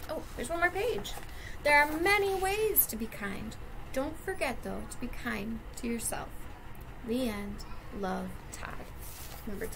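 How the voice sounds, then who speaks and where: A young woman with a fairly high voice and a strong creak reads a children's picture book aloud like a preschool teacher. She pauses to explain things simply to young listeners. Clear background noise runs through the classroom webcam recording. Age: young adult. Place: indoors, classroom.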